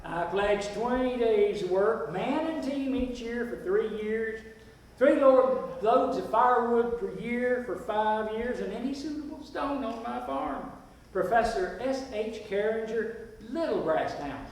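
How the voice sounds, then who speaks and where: Guy acting as sincere country farmer, high voice for a man, formal recital, super echoey and distant. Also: lively intonation, unhurried, a little mellow, heavily acted. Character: sincere rural farmers pledging labor and land. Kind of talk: scripted stage play recitation. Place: stage performance at a festival. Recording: echoey hall, distant mic.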